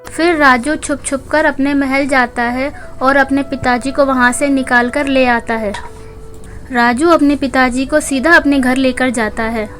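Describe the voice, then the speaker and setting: A woman narrates a children's moral tale in a high voice that stays very level. She reads from a script as a storyteller, with music playing underneath. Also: steady tone, measured pace, a bit bright. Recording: music behind the voice. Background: background music.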